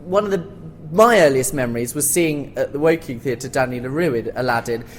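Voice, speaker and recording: A man's voice: mid-range voice, fairly quick. A man speaking as a panellist in an informal but informed discussion, explaining things articulately. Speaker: panellist, theatre commentator. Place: theatre, live panel discussion. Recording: live recording with background room noise.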